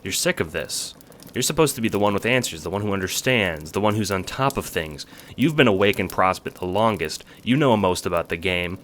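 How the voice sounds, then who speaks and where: A man's voice: low voice, lively intonation, fairly quick, a bit bright, slight background noise. A man reading scripted second-person narration for an audio drama.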